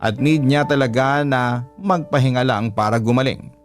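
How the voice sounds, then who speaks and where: A man narrating a listener's letter in a heartfelt, measured way. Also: medium pitch, soft background music. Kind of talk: reading a listener's letter aloud.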